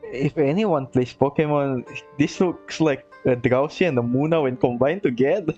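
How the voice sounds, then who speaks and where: A woman's voice: very deep voice, moderate pace, mellow, muffled recording, faint music behind. A woman streamer giving casual, laid-back commentary over gameplay with amused asides. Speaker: gaming streamer.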